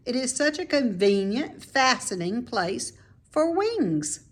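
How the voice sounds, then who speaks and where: A woman reads a children's story aloud at a leisurely pace. Her mid-pitched voice moves up and down in a lively way, and she phrases each idea deliberately and expressively for young listeners. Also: slightly rough. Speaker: storybook reader, teacher.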